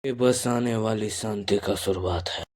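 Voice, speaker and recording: A man's voice: lowish voice, fairly even intonation, a little slow, a little mellow, no echo. A man voicing a brooding, power-hungry young ninja rival with cold, menacing declarations, in an amateur anime fan dub. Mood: cold menace. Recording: music behind the voice.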